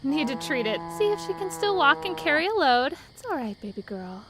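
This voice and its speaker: A woman's voice: sits high, sing-song, a little fast, noisy background. A woman playing an easygoing wasteland caravan trader in an audio drama, with a casual, folksy way of talking. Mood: worried, resigned.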